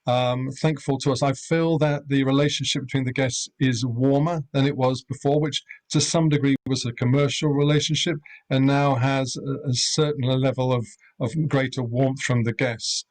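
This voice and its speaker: Hesitant man from Europe, measured talk. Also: medium pitch, flat delivery. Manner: measured, hesitant, many fillers. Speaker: rural accommodation owner. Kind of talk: webinar panel talk.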